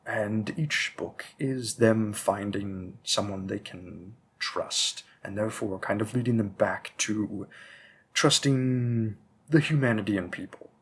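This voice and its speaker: A man's voice: fairly low pitch, a little hiss or hum. A man playing a patient, bookish vampire bookseller with a hint of menace, musing hesitantly in a conversational roleplay audio drama. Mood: musing, keen. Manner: musing, hesitant, deliberate, with frequent pauses.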